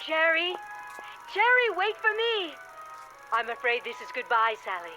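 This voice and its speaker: An actress playing a breezy, glamorous film star hostess in an old television drama, theatrical and airy. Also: female voice, sits high, very animated, measured pace, sharp tone, heavily acted. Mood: excited farewell. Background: faint music.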